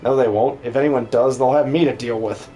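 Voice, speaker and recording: A man's voice: medium pitch, fairly even intonation, a little fast, warm tone, slight background noise, voice pushed down, natural voice. A man voicing a cocky, menacing tough guy with a mocking manner for a game dub. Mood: threatening.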